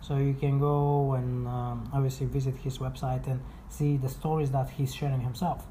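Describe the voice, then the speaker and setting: A woman from Bulgaria with a deep, soft-edged voice talks through an informal explainer. Her phrasing is halting, with frequent self-corrections. There is faint noise in the background. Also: level tone. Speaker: blogger and presenter.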